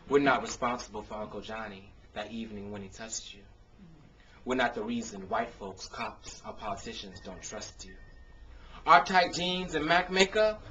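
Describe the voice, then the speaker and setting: A man's voice: fairly low pitch, expressive, normal speed, crowd noise. An American man giving a spoken word poetry reading with casual introductions, phrasing his words expressively and with emphasis. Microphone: camcorder microphone. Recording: dull, noisy consumer camcorder sound.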